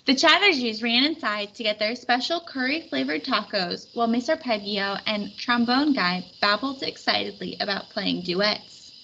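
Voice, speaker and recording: Bright expressive woman reading aloud. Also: fairly high pitch, normal speed, creaky, hardly any pauses, dull audio, a little hiss or hum. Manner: expressive, lively storytelling. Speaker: storybook reader. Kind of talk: children's picture book read-aloud.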